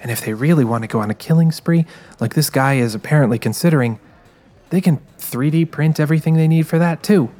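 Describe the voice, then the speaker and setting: A man's voice: fairly high pitch, fast, mellow, faint music behind, natural voice. A man voicing a rueful, determined young woman who narrates her story in a confiding way. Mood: musing. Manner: musing, confiding. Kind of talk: first-person dramatized scripted narration.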